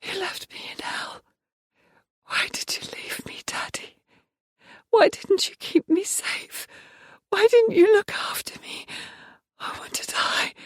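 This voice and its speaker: A woman performing a broken, tormented young woman in an audiobook, speaking in halting one-word fragments that drift between whispers and cries. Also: fairly high pitch, lively intonation, no room sound, studio quality, exaggerated voice. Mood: pleading. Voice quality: breathy. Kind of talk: audiobook inner-monologue performance.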